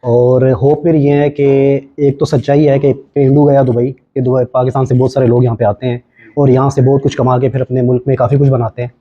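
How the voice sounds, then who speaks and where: A man's voice: medium pitch, flat delivery. A man with a Pakistani accent gives an earnest interview answer, speaking as if making a small speech. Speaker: stage actor. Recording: dull lo-fi event interview.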